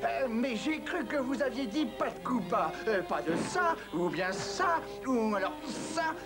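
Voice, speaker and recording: A gullible, slow-witted cartoon boxer. His lively, swinging voice is polite and hesitant as he bumbles along, with clear music behind him on an old cartoon soundtrack. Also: extremely high pitch, full character voice. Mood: politely complaining. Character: naive, dim, hesitant male cartoon boxer. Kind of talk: French-dubbed cartoon acting.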